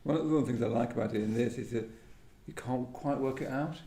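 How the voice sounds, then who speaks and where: A man with a gravelly, relaxed voice talks about poetry as an interviewer. He muses appreciatively and a little haltingly, in a space with clear echo. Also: mid-range voice. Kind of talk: reflective literary conversation. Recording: roomy live event sound.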